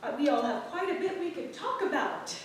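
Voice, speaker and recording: A high-voiced townswoman acted by a woman, wry and defiant beneath her gracious manners. Every polite phrase is arch and pointed. She is heard from a distance in a very echoey theatre during a play reading. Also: lively intonation, brisk. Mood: falsely gracious. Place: stage in a theatre. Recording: echoing theatre, distant mic, faint noise.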